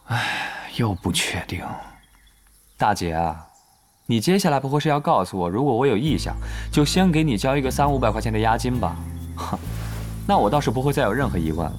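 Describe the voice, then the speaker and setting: A man's voice: mid-range voice, big pitch swings, fairly quick, faint music behind, natural voice. A young man acting in a Mandarin audio drama as a cautious, nitpicking gamer who talks in wordy, sardonic questions. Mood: skeptical, sarcastic.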